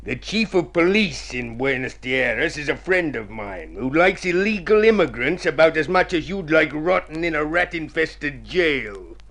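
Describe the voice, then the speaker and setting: A scheming, smooth-talking consul from vintage radio drama. He is theatrical and self-satisfied, coaxing his partner along like a man selling a crooked deal. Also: fairly high pitch, lively intonation, a little slow, few pauses, fairly bright tone. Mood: quietly menacing. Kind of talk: golden age radio drama acting.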